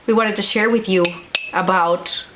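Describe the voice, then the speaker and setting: A woman's voice: mid-range voice, steady tone, moderate pace, background noise. A woman from Colombia, a native Spanish speaker, telling personal stories conversationally and earnestly, repeating phrases for emphasis. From Bogota, Colombia. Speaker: vlog co-host, pastor.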